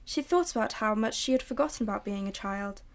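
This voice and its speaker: A woman narrating a radio drama in a slow, hushed way. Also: mid-range voice.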